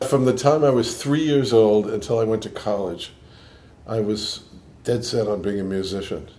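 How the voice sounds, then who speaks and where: A man's voice: fairly low pitch, steady tone, moderate pace, warm tone. An older man from Boston with a mixed Boston and New York accent, a scientist recalling his life in an interview, thoughtful with frequent restarts. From Boston, USA. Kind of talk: reflective interview answers.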